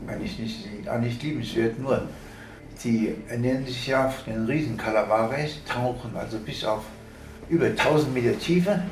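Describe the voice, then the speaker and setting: A man's voice: mid-range voice, moderate pace, slight background noise, rough recording. An older man, a former seaman, recalls the sea in spontaneous, discursive and marvelling speech full of vivid description. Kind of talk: spontaneous spoken reminiscence in a radio feature.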